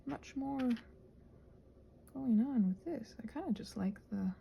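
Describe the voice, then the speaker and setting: A woman in a casual, rambling ASMR vlog, soft-spoken and self-deprecating, thinking aloud in a meandering way and sometimes dropping toward a whisper. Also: fairly high pitch, expressive, measured pace, creaky, dull audio, slight background noise. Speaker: ASMR creator rambling while crafting.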